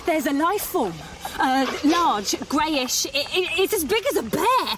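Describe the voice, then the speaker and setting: An actress playing an anxious scientist in an audio drama, reporting from the field in a halting, stammering way. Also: female voice, high-pitched, expressive. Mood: shaken, awed. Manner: halting, stammering under stress. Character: anxious woman scientist reporting live on an alien landing. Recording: noisy outdoor scene with loud background ambience.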